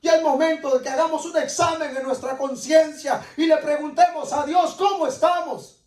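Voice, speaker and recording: A man's voice: very high-pitched, steady tone, normal speed, a little mellow. A man preaching a sermon as dramatic personal testimony, repeating his phrases with insistent emphasis. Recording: echoey room, distant microphone.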